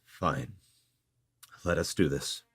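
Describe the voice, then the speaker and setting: A man voicing a calm, calculating feudal lord in a visual novel, speaking in a measured, deliberate way. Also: very deep voice, breathy edge, exaggerated voice. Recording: loud background music over the voice. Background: loud new-age music.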